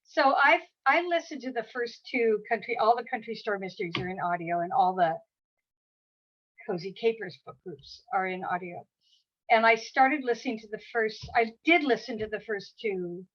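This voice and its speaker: A woman's voice: mid-range voice, animated, normal speed. A woman in her sixties, a guest author talking casually over a video call, chatty and rambling with many restarts. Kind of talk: casual conversation. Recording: dull lo-fi audio.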